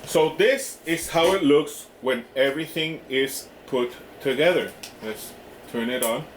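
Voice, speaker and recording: A man giving a casual hands-on product demo in a halting way, often checking in with the listener. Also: medium pitch. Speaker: product reviewer. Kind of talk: casual hands-on unboxing demonstration. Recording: roomy echo with clear background noise.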